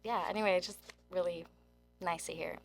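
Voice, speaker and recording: A woman's voice: fairly low pitch, fairly even intonation, fairly quick, vocal fry, a little hiss or hum. A woman in her twenties who grew up in Germany and South Korea, chatting informally as an interview guest with lots of filler "like". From Germany and South Korea, military child. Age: 20s. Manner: informal, heavy use of "like". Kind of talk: casual chat.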